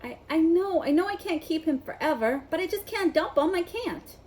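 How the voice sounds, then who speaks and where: A woman's voice: very high voice, expressive, normal speed, darkish tone, slight background noise, voice pushed up. A woman reading a storybook as a sentimental male gamekeeper, cooing and pleading over his pet. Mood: anguished.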